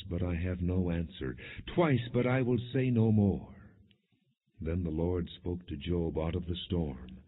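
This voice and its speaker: Deep, husky male scripture narrator, phone-like sound. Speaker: audiobook Bible narrator. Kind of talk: scripted scripture narration, non-dramatized reading. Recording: muffled, narrow phone-like sound.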